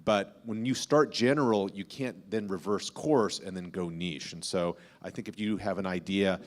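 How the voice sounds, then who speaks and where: A man's voice: deep voice, normal speed. A man speaking as a podcaster on a panel, explaining his advice analytically with examples.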